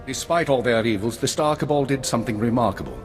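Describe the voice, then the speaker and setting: An actor playing a weary, regretful older intelligence minister in a video game, speaking in a measured, formal and authoritative way. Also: male voice, mid-range voice, lightly acted. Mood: remorseful. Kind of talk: scripted dramatic game acting. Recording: orchestral music behind.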